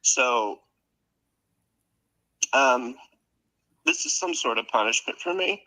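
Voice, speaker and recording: A man's voice: mid-range voice, very animated, takes it slowly, pushed and bright, low-quality recording. A man in a reality-show clip, talking earnestly and hesitantly.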